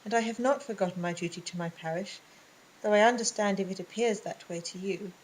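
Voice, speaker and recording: A woman voicing a young male curate who speaks quietly, hesitantly and apologetically. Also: medium pitch, a little fast, slight background noise, lightly acted, rough recording. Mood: defensive. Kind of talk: audiobook reading.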